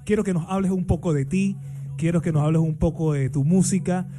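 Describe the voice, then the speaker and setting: A man's voice: a little high, fairly even intonation, a little fast, dark tone, soft background music. Chatty radio host, man. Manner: chatty, affirming, friendly banter. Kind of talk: casual radio interview. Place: radio studio booth.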